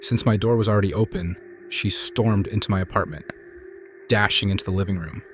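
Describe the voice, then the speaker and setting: A man calmly narrating true scary stories in a measured, hushed scripted style. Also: lowish voice, background noise. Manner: calm, measured, hushed storytelling. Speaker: narrator reading viewer stories. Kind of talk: scripted horror story narration.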